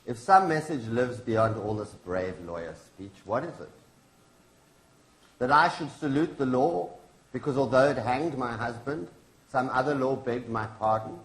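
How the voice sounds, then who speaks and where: A man's voice: mid-range voice, animated, measured pace, a bit bright. A man reading the part of a grieving, defiant activist's widow in a staged play, solemn and accusing in manner. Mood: challenging. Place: indoor launch event venue. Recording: echoey room.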